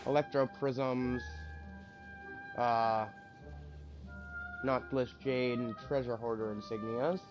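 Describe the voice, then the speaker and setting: A man's voice: medium pitch, fairly even intonation, slow, pauses often, rough recording. A man doing casual gameplay commentary as a streamer, thinking aloud and muttering through lists that trail off. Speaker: game streamer. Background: game music.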